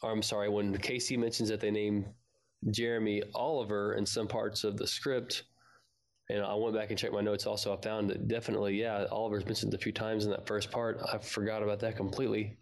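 Man, slightly low voice, casual conversational fan podcast co-host. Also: little pitch movement, fairly quick.

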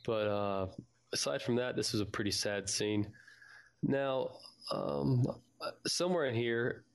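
A man's voice: medium pitch, unhurried, gravelly. A man co-hosting a podcast, chatting informally about a story and sharing his reactions. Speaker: podcast co-host. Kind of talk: casual chat about an audio drama.

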